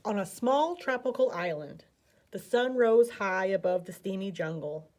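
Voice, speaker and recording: A woman's voice: medium pitch, expressive, measured pace, darkish tone. An American woman narrating a children's picture book expressively as a storyteller.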